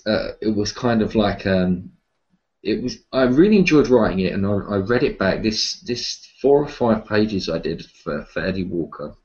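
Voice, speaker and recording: A man being interviewed, talking casually and reflectively about his writing, with hesitant pauses. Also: on the low side, animated, a little mellow, highs cut off. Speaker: interview guest, horror writer and publisher.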